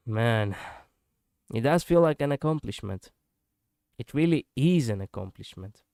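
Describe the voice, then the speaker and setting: A man's voice: medium pitch, lively intonation, a little slow, vocal fry, mellow, no echo, studio quality. A male streamer chatting casually and reflectively, poking fun at his own slip-ups. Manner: musing, self-deprecating, laughs at own slips. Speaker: VTuber streamer.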